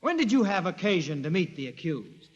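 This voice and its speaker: A formal prosecutor. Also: male voice, fairly high pitch, big pitch swings, muffled recording. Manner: measured questioning. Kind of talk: 1950s radio drama acting. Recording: old radio broadcast with long reverb.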